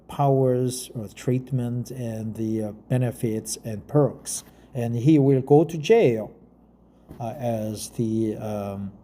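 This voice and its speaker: A middle-aged Korean man with a Korean accent, a non-native English speaker talking casually and reading an article aloud, halting with frequent fillers and restarts. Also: medium pitch, dark tone.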